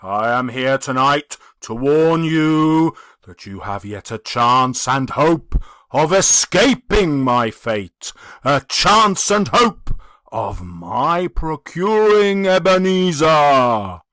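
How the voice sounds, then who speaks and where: A man voicing the anguished, tormented ghost of a dead businessman in a dramatic audiobook reading, with a declamatory, mournful and drawn-out delivery. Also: a little high, big pitch swings, a bit bright, dry recording, exaggerated voice. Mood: urgent warning.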